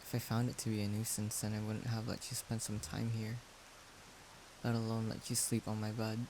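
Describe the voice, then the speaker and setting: A man playing a caring, shy young friend in an intimate audio roleplay, soft-spoken and hesitant as he gently reassures the listener. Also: lowish voice, monotone, fairly quick, close to an everyday voice. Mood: tender, bashful. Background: rain-like noise.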